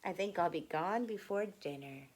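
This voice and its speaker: A woman's voice: fairly low pitch, expressive, normal speed, a little mellow, breathy edge. A woman voicing a friendly, reassuring anger creature that keeps a child company in a picture book.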